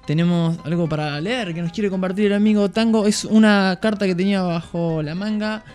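A man co-hosts the radio chat and introduces segments with enthusiasm. His voice sits a little high for a man, with faint music behind him. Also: fairly quick, a little mellow. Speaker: radio co-host.

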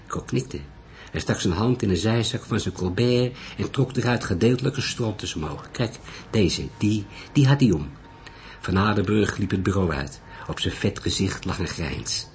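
A man's voice: fairly low pitch, normal speed. A man reading a crime novel as an audiobook narrator, in scripted storytelling style. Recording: faint background noise.

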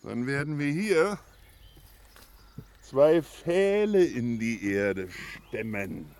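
An actor playing a greedy, domineering giant in a German fantasy radio play, giving exacting commands. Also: male voice, medium pitch, expressive, very slow, darkish tone, slight background noise. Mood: bossy, exacting. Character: greedy, bossy male giant demanding his gold.

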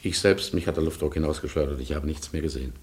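Radio drama: haunted narrator in mourning, deep, rough husky voice, grave storytelling. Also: male voice, quick pace. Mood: sombre recollection. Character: haunted, grieving man recounting his wartime loss.

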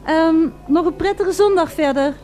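A woman's voice: very high-pitched, normal speed, warm tone, faint music behind. An actress playing an eager, polite female radio reporter in a radio drama, with formal, florid and emphatic delivery. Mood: polite, flustered.

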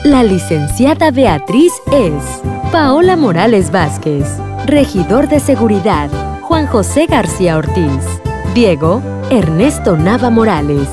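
Lively Mexican woman announcer over music. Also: medium pitch, takes it slowly. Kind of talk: scripted radio credits narration.

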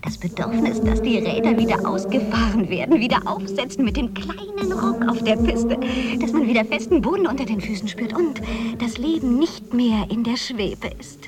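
A woman's voice: a little high, animated, normal speed, close to an everyday voice. An actress playing an earnest, dreamy woman with courtly ideals, confiding lyrically in a radio drama monologue. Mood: wistful, reflective. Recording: music loud behind the voice. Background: loud music.

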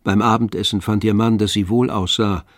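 Low-voiced male radio play narrator. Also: fairly even intonation, no room sound, studio quality. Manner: measured, scripted storytelling.

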